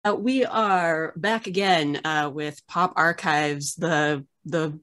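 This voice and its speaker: Woman, 30s, low-pitched, casual chatty host on a webcam call, filler-heavy and self-mocking. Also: fairly even intonation, takes it slowly, a bit bright. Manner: chatty, many filler uhs, self-deprecating jokes. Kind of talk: casual video-call interview. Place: home room with framed art on the wall.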